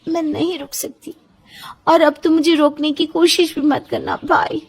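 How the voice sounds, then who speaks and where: A very high-pitched, affectionate young woman from a storybook romance, acted out by a woman. She speaks coyly and earnestly, like someone lovelorn and gently pleading her case. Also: flat delivery, moderate pace. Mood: sad, pleading.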